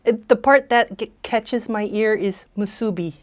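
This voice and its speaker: A woman's voice: fairly high pitch, measured pace. A woman interviewing guests as host, in a conversational style.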